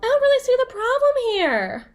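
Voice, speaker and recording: Woman acting a high-pitched, teasing yandere girl. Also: huge pitch swings, full character voice. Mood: playful, insistent. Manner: teasing, sassy, theatrical, drawn-out emphasis. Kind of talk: ASMR roleplay monologue addressed to the listener.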